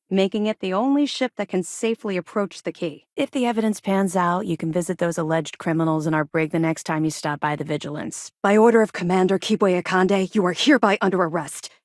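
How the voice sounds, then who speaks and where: Woman acting a firm, lower-pitched female military officer. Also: no echo, studio quality, natural voice. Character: tough, driven female military officer with a criminal past. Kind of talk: video game voice acting.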